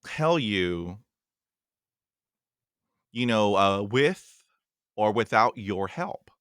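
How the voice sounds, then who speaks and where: A man's voice: fairly low pitch, expressive, very slow, a bit bright, clean tone, dry recording, clean studio sound. A man in his forties hosting a podcast interview, talking earnestly with a stutter and repeating himself for emphasis. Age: 40s. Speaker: podcast host.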